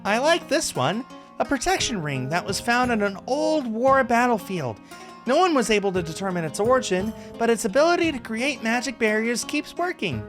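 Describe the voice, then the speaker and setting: A cheerful female peddler in a fantasy visual novel, played by a man. The voice is pitched high and swings around with excitement. She is flirty, teasing and theatrical, gushing like a keen saleswoman. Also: moderate pace, soft background music, exaggerated voice. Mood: excited sales patter. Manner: gushing, teasing, excited sing-song delivery. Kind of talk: visual novel character read-aloud.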